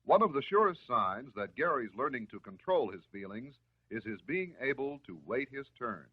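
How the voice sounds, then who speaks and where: An American man delivering scripted narration in the style of a 1950s educational film, measured, instructive and reassuring. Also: medium pitch, expressive, husky. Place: voice-over recorded for film. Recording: muffled, narrow-band 1950s film soundtrack.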